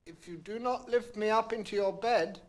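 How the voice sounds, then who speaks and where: A man voicing a polite but insistent little frog in a children's storybook, coaxing in a drawn-out, pleading way. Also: high-pitched, voice pushed up, natural voice.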